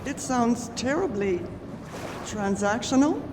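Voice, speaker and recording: A woman's voice: a little high, expressive, slow pace. A woman playing a genteel, sympathetic lady in a radio drama, speaking politely and articulately. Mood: concerned, sympathetic. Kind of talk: stage radio drama acting. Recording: reverberant hall with clear background noise.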